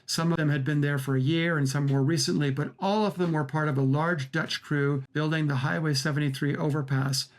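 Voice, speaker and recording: A man's voice: fairly high pitch, fairly even intonation, a little fast, hardly any pauses. A man giving a prepared, first-person radio account as a documentary director, speaking in an earnest, emphatic way.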